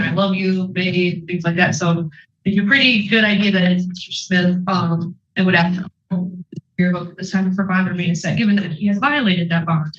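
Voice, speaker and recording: A woman arguing as a prosecutor in spontaneous courtroom speech. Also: on the low side. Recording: echoey, dull courtroom audio feed.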